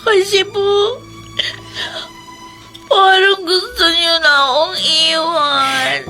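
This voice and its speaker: A woman's voice: extremely high pitch, fairly even intonation, extremely slow, bright, forceful tone, faint music behind, exaggerated voice. A woman playing a worried young child in a melodrama, with a Filipino accent and a plaintive, polite, questioning manner. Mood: fearful, tearful. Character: worried, inquisitive young child of a sick mother.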